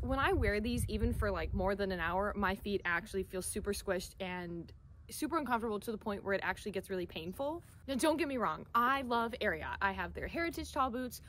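Young woman, American accent, medium pitch, enthusiastic, emphatic, chatty vlog delivery, faint outdoor noise. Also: fairly bright tone. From Central Florida, United States. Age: young adult. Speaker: equestrian product reviewer, vlogger. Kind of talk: casual product review to camera. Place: outdoors, by a wooden wall and open field. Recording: noisy outdoor camera audio.

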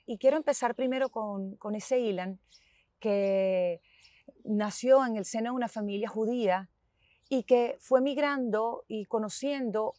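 A woman in her thirties hosting an interview, asking questions in a warm, lively way. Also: fairly high pitch, measured pace, no echo, clean studio sound. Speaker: interviewer, host. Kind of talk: conversational interview. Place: outdoors in a city park. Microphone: clip-on lapel mic.

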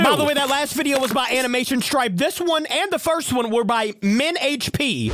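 Excitable man reacting, lively pitch. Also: background noise. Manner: exclaiming, laughing, reading subtitles aloud. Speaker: YouTube reaction host. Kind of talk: casual reaction commentary. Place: indoor room. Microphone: studio mic with over-ear headphones. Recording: loud backing music under voice. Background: loud music from the reacted video.

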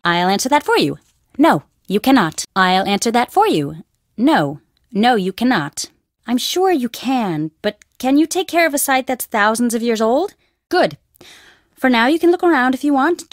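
A woman voicing a young female archaeology student at an Egyptian dig in a video game, animated, by turns nervous and wisecracking. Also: on the high side, dry recording, studio quality. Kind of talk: studio game voice acting.

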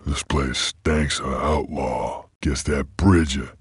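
A man voicing a gruff, weary, menacing creature bounty hunter from a video game, with a husky, gravelly Western American drawl and terse, deadpan delivery. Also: very deep voice, takes it slowly, no room sound, clean studio sound, heavily acted. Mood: gruff, wary. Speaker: game protagonist. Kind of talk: video game character lines.

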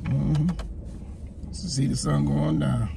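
An older man argues from the passenger seat of a car in a fairly high voice. He stammers, repeats words and swears often. The recording comes from a phone on the dashboard, with a faint hum of car noise behind him. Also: little pitch movement, takes it slowly, gravelly. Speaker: passenger uncle. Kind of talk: casual argument. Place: inside a parked car.

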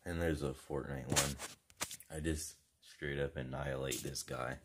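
Young gamer dude, super low voice, slangy, noisy phone audio. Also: male voice, fairly even intonation, a little slow. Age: teens to young adult. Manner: slangy, informal. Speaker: gaming video creator. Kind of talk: casual gamer chat. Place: small plain room indoors. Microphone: phone at arm's length.

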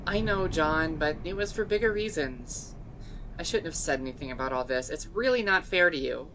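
A woman's voice: low-pitched, lively intonation, moderate pace, background noise. A woman playing a caring but hurt, blunt young woman in a fan dub drama, speaking directly and earnestly. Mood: earnest, reassuring.

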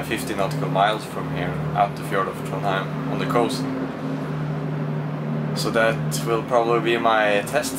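Male sailing vlogger, young adult, fairly deep voice, informal delivery, noisy handheld-camera sound. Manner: informal, thinking aloud. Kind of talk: casual vlog commentary. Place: small sailboat cockpit and cabin. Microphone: handheld camera at arm's length. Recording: noisy on-board camera audio, steady hum.

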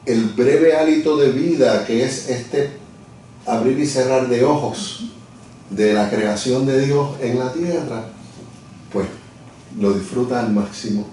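A man's voice: mid-range voice, slow pace, mellow, a little hiss or hum. A middle-aged man being interviewed in an office, explaining his views thoughtfully and often searching for words with restarts. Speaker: interview guest, lawyer and communications director. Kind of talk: reflective conversational explanation. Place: office, seated at a desk. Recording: echoey room from far off.

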